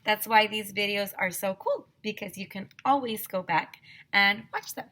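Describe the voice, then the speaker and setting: A woman's voice: mid-range voice, moderate pace, sharp tone. A woman teacher reading a children's story aloud expressively, speaking directly to the young listeners.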